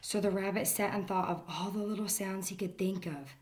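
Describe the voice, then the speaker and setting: A woman reading a children's picture book aloud in a gentle storytelling manner, sometimes dropping to a whisper. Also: mid-range voice, fairly even intonation, brisk, a little hiss or hum. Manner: gentle storytelling, drops to whispers for the quiet parts.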